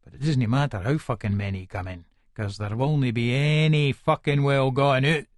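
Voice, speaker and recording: A man's voice: mid-range voice, normal speed, no room sound, clean studio sound, natural voice. An actor with an Edinburgh Scottish accent playing a violent, volatile hard man who narrates an audiobook in the first person, sweary and aggressive. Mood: irritable, wary. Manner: aggressive, menacing, sweary, colloquial.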